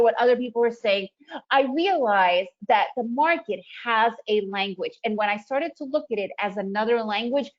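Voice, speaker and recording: Woman, Russian first language, raised in the United States, webinar presenter, emphatic, rhetorical questions, muffled lo-fi audio. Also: fairly high pitch. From former Soviet Union, raised in the United States. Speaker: trading presenter.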